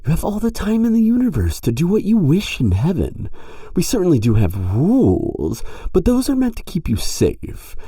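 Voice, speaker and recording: A prim angel of heaven, played by a man, pitched well up and swooping through an audio drama. The speech is formal and a little prissy, easily indignant yet earnestly persuasive. It sounds close and dry. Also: wildly swooping pitch, normal speed, dark tone, slightly rough, no room sound, heavily acted. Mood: earnest, reproachful. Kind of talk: audio roleplay acting.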